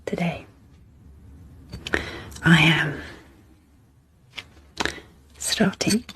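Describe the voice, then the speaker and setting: A woman speaking very softly and breathily in an ASMR style, gently introducing guided relaxation with unhurried, pausing phrases. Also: sits low. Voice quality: soft, breathy, half-whispered. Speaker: host, ASMR psychologist. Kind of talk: soft-spoken ASMR guided sleep meditation introduction.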